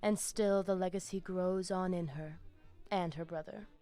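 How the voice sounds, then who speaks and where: A woman's voice: on the low side, fairly even intonation, a little slow, dark tone, close to an everyday voice. An actress playing a proud, zealous female vampire pack leader in a video game, speaking in a solemn, grandiose, threatening manner. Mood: cold menace. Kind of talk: video game character dialogue. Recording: music behind the voice.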